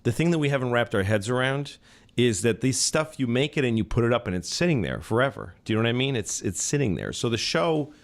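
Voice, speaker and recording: A man's voice: medium pitch, very animated, fast talker. A man in his forties talking casually as a podcast guest, rambling and restarting his sentences, often reaching for sports comparisons. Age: 40s. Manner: rambling, self-interrupting, with sports analogies. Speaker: comedian guest.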